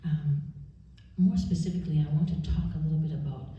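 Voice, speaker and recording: A woman in her forties from Canada giving an earnest, measured personal testimony at a church service, pausing often. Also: low voice, fairly even intonation, warm tone. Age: 40s. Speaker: church speaker. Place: church sanctuary. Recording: roomy church, distant mic, dull sound.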